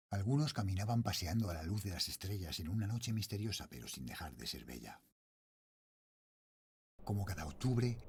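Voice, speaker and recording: A man's voice: lowish voice, a little mellow, slight background noise. A middle-aged man with a Spanish accent from Spain playing an uneasy night watchman and father, narrating a horror tale in a suspenseful, brooding way. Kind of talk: dramatic first-person horror narration.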